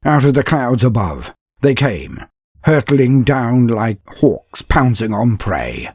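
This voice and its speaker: A man's voice: medium pitch, sing-song, takes it slowly, a little mellow, slightly rough, dry recording. A man narrating a comedic fan story in a grandiose, mock-heroic manner with dry asides.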